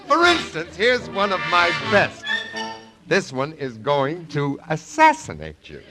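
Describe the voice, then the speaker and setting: A vain clown of a father in a vintage radio operetta. His high voice bounces widely through self-satisfied wisecracks. Music sits clearly behind the old broadcast. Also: huge pitch swings, measured pace. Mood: boastful. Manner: self-satisfied joking patter. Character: boastful wisecracking long-absent father, breezy vain clown. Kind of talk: 1940s radio operetta acting.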